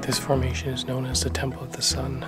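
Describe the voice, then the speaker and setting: A man with a mid-range voice narrates a walk through a cave in a steady, matter-of-fact way. His delivery stays flat and even throughout. Loud background music plays under his voice. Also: medium pitch, fast. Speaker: travel vlog host.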